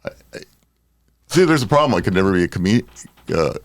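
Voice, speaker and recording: Animated older American man, deepish voice. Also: a little fast, dry recording. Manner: joking, self-deprecating. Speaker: podcast co-host. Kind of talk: casual chat.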